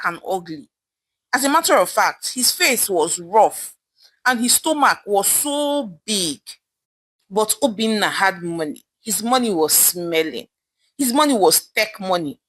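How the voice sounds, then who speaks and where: A woman's voice: mid-range voice, a bit bright. A woman with a Nigerian accent narrating a folktale dramatically as a storyteller.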